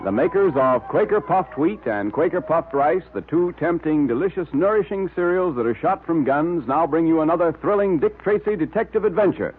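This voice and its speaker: A man's voice: a little high, expressive, moderate pace, hardly any pauses. A male radio announcer in 1940s style, delivering scripted adverts and story narration with emphatic salesmanship. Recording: tinny vintage radio recording.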